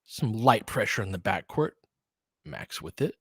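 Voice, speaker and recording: A man's voice: on the low side, sing-song, measured pace, a bit bright, slightly rough, dry recording, studio quality. A man hosting a casual live basketball show, conversational and opinionated, chatting with listeners in informal, slangy language. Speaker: host of a basketball audio play-by-play show. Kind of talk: casual live sports discussion with loose play-by-play.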